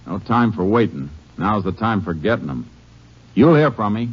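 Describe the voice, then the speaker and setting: A man's voice: on the low side, expressive, normal speed, noisy background, close to an everyday voice. An actor playing a steely, resolute Texas Ranger who narrates his cases tersely, in 1950s American radio western style. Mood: terse, determined. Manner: terse, matter-of-fact. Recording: dull old radio recording with music.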